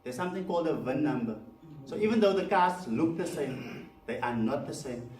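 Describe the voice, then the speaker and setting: A man's voice: on the high side, lively intonation, moderate pace, a little mellow. A man with a South African accent, Afrikaans his first language, giving a live seminar to a room, chatty with many fillers and questions to the audience. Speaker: presenter. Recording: echoey room, mic far off, faint background noise.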